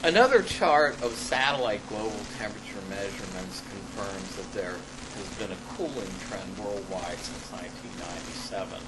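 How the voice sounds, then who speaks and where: A man reading an academic paper aloud in a classroom as a lecturer, halting and deliberate, stressing key words and adding spoken asides. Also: fairly low pitch, lively intonation. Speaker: lecturer presenting own paper. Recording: clearly noisy live classroom recording.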